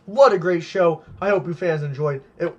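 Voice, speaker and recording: A male play-by-play commentator with a high voice who is losing it, going hoarse as he calls the action. He is hyped and keeps exclaiming, but his delivery stays unhurried. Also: sing-song, slight background noise. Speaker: commentator for a simulated monster truck series.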